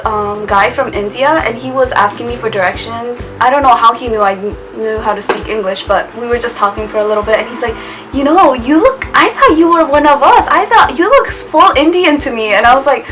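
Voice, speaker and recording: A woman's voice: high voice, fairly bright tone. A young woman chatting casually to camera in a vlog, laughing often and trailing off with little "I guess" asides. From United States. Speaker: vlogger. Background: music.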